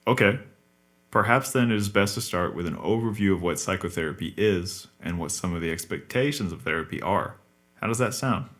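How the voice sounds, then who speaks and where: Formal, patient therapist for podcast drama, played by a man: low register, measured and explanatory. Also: fairly bright tone. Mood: patient, probing. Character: calm, courteous male psychotherapist, patient and formal. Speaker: actor in a scripted podcast drama. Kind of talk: naturalistic audio drama acting.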